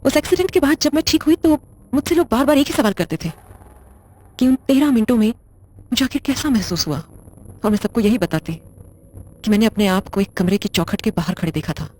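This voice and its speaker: A woman's voice: on the high side, animated, fairly quick, pauses often, a little mellow. A woman playing a blunt, controlling widowed wife in an audio drama, speaking in a curt, sardonic, interrogating way. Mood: grave, recounting. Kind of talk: Hindi audio drama acting.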